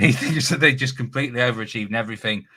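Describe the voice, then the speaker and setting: Bright, chatty male betting host. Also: medium pitch, very fast. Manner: chatty, rambling, with many restarts and fillers. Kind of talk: casual live studio chat.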